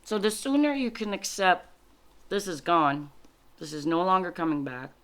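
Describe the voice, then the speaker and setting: Low-voiced woman, native French speaker, halting delivery. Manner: halting, reflective, explains in short phrases. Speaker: spiritual card reader. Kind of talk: informal spiritual guidance, reading cards aloud and commenting.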